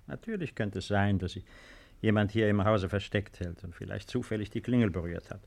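An actor playing a calm, dismissive husband in a 1950s German radio play, speaking in a measured, matter-of-fact way. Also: male voice, fairly low pitch, creaky. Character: calm, dismissive husband reassuring a frightened woman. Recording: 1950s radio drama recording.

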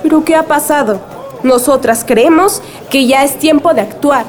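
A woman's voice: sits high, moderate pace, some chatter behind, natural voice. A woman playing a respectful but firm villager in a radio drama, courteous and pointed.

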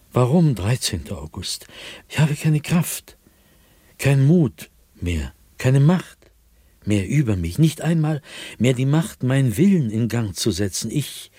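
A man's voice: lowish voice, mellow, breathy edge, no echo. An actor playing an anguished, educated man who fears madness and an unseen being, in a brooding radio drama diary monologue. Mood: despairing, anguished. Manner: brooding, literary, deliberate phrasing with agitated emphasis. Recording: dry studio with music behind.

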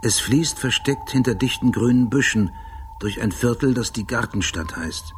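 An actor playing an obsessive, brooding police detective in a radio drama monologue, speaking in a hushed, deliberate way. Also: male voice, mid-range voice, slight background noise, natural voice. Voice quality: gravelly. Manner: hushed, deliberate, often near whisper. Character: obsessive, brooding male police detective recalling details precisely. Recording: loud music under the voice.

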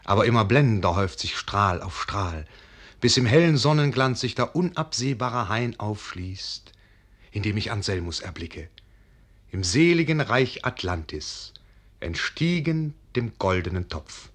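A man's voice: fairly low pitch, lively intonation, measured pace, fairly bright tone. A man narrating a radio play in a lyrical, rapturous way. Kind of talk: scripted narration in radio drama.

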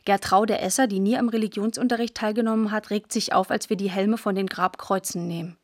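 A woman's voice: mid-range voice, steady tone, a little fast, dry recording, studio quality, lightly acted. An actress playing a postwar schoolgirl who tells her story in plain, clipped first-person radio drama narration. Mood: matter-of-fact. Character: matter-of-fact postwar schoolgirl telling her scavenging adventures.

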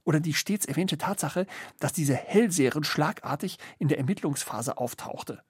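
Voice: mid-range voice, expressive, moderate pace, breathy edge, dry recording, clean studio sound. An actor playing a sober, questioning observer in a literary radio play, phrasing with deliberation. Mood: grave, questioning. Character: sober, questioning observer reporting on social unrest.

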